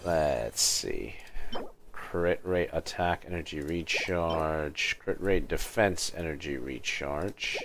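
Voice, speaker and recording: A man's voice: very deep voice, expressive, slow, background noise. A man giving casual livestream commentary on a video game, often muttering to himself as he goes. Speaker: game streamer. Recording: game music behind the voice. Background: video game music.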